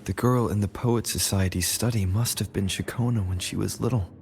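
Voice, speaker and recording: An actor playing a calm male traveller in a video game, musing in a measured, thoughtful way. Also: on the low side, vocal fry, slight background noise. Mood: pondering. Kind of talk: video game voice acting, reflective monologue.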